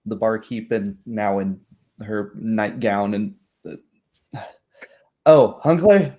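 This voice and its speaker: A man's voice: lowish voice, flat delivery, slow, darkish tone. A man working as game master, describing scenes in improvised tabletop roleplay narration.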